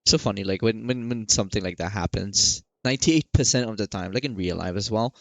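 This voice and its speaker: Creaky low-voiced man, casual gaming commentary. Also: fairly bright tone, no room sound. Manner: rambling, halting, repeats himself. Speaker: gaming video creator. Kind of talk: casual let's play commentary.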